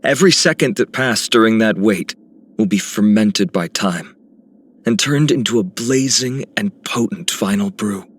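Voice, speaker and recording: A man's voice: fairly low pitch, animated, measured pace, slightly rough. A man voicing a noble, determined young hero in a video game with an earnest, poetic delivery. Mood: resolute. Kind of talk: scripted game character lines.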